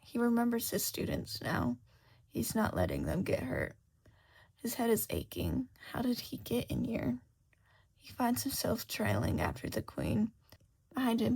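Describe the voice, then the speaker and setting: A woman narrating fan fiction dramatically and expressively, at times hushed. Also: on the high side, creaky, slightly rough. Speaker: podfic narrator.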